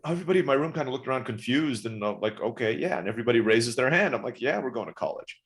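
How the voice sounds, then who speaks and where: A man in his forties, born in the Philippines and raised in Turkey, chatting quickly as a podcast guest telling his life story. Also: mid-range voice, lively intonation. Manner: rapid, chatty, often saying "right?". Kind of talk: casual interview storytelling.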